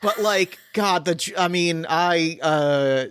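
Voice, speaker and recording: Smooth-voiced male podcast host. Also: fairly high pitch, no room sound, studio quality. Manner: animated, quick to jump in and agree. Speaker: game master and podcast host. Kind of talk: casual podcast chat.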